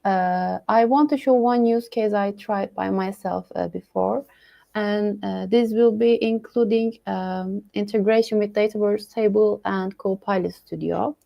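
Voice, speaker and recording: A woman's voice: medium pitch, fairly even intonation, unhurried, creaky, highs cut off. A woman from Turkey presenting a tech demo on a video call, hesitant and full of fillers. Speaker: guest presenter. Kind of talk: online meeting chat and tech demo. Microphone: computer mic on video call.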